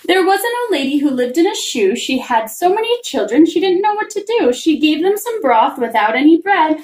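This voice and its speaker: A woman reading a rhyming children's story aloud in an expressive, singsong manner. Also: high voice, fairly quick. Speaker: reader of a children's picture book. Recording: roomy, echoing room sound.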